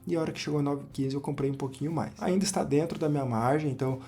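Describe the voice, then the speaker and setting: A man with a Brazilian accent, hoarse from a cold, giving relaxed, chatty commentary on his investment portfolio while sharing his screen. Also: on the high side, rapid-fire, a little hiss or hum. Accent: Brazilian Portuguese. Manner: relaxed, chatty, frequent "né" and "pessoal". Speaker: finance channel host.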